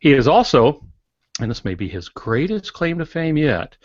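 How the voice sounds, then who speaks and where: A man in his sixties co-hosting a podcast, introducing things informally in a halting, folksy, lightly joking way. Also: mid-range voice, sing-song, dry recording, lo-fi sound. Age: 60s. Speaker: podcast co-host.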